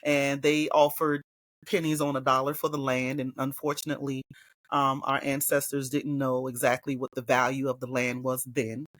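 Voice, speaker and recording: A woman from Hilton Head Island with a Gullah Geechee accent, a guest educator talking in a relaxed interview and telling stories from home. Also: rumbling low, normal speed, dry recording. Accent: Gullah Geechee, South Carolina Lowcountry. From Hilton Head Island, South Carolina. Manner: relaxed storytelling, quoting others in lively voices. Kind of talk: casual interview conversation.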